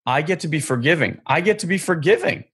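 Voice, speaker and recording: A man voicing a small, innocent and eager soul in a storybook reading, with a gravelly, husky voice. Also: fairly high pitch, lively intonation, quick pace, fairly bright tone, heavily acted. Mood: overjoyed.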